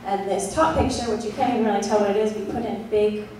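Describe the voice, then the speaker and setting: A woman with a quiet, soft voice, giving an explanatory talk as a conservation district director, with many ums. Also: medium pitch, steady tone, fast talker. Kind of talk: presentation to a club meeting. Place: meeting room. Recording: echoey room from far off, noisy.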